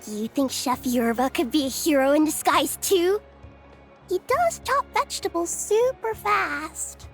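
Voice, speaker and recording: A woman voicing an eager, excitable little child in an anime game, with a childlike voice. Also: high-pitched, measured pace, faint music behind.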